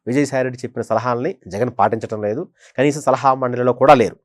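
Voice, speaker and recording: Casting: male senior journalist, middle-pitched, emphatic and repetitive pundit delivery, dry close recording. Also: fast, no room sound. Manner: emphatic, repeats phrases for stress. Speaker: senior journalist, political analyst. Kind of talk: conversational political commentary.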